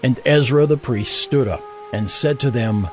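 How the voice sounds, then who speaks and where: A man giving scripted narration for a dramatized Bible, measured and solemn. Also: mid-range voice, soft background music.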